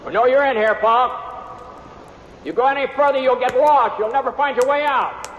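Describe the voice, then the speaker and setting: An actor playing a posse member in a radio western, shouting and taunting into a cave. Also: male voice, very high voice, normal speed, echoey room, in a large hall. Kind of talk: 1950s radio western acting. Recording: muffled, hissy old radio recording.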